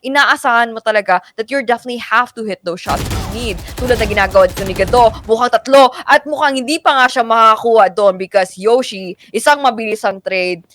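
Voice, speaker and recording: A woman's voice: fairly high pitch, fast talker, hardly any pauses, fairly bright tone. A woman with a Filipino accent giving live esports play-by-play and analysis in a wordy, flowing way. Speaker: esports shoutcaster.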